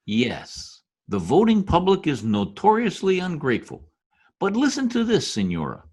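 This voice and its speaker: A man playing a brisk, glib, cynical political fixer in an old-time radio drama. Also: on the high side, huge pitch swings, darkish tone, slightly rough, heavily acted. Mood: scheming. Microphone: video call.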